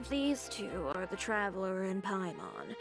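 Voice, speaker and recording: Cool young female designer: self-assured, blunt, mid-pitched, anime game delivery over music. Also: animated, takes it slowly. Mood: calm. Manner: composed, blunt, dry. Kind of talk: anime-style video game voice acting.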